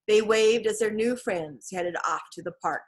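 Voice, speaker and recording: A woman reading a children's storybook aloud as an audiobook narrator, with expressive scripted delivery. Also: medium pitch, unhurried. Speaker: audiobook reader.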